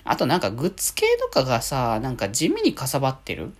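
A man's voice: mid-range voice, huge pitch swings, fast. A man hosting a casual solo radio talk, chatting in a rambling, conversational way.

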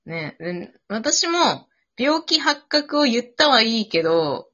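A woman's voice: a little high, very animated, takes it slowly, a bit bright. A woman chatting casually after an improv drama, switching between polite and informal speech. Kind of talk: casual post-show chat. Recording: dull lo-fi audio.

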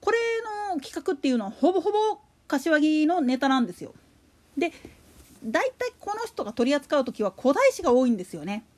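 A woman hosts a solo show in a high-pitched voice. Her intonation swings widely as she talks. The delivery is a casual monologue, full of colloquial, slangy turns. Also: very animated, brisk, darkish tone. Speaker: solo podcast host. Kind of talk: casual monologue about a radio announcer.

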